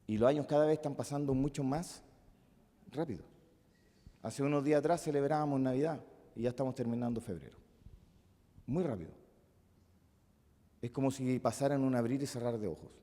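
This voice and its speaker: A male pastor with a Chilean accent preaching a sermon, moving between loud emphatic peaks and soft, musing asides. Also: medium pitch, brisk, pauses often. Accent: Chilean Spanish. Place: church hall. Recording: long reverb of a church hall.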